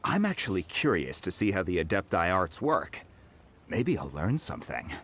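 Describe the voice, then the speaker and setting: An actor playing an easygoing craftsman who talks in a relaxed, obliging way. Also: low voice, moderate pace, a little hiss or hum. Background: soft game music.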